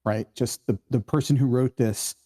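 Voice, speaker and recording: A man hosting a casual screenwriting discussion, explaining in a halting way and often checking for agreement. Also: medium pitch, steady tone, dark tone, husky. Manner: halting, explanatory, keeps seeking agreement with "right?". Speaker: host of a screenwriting table read.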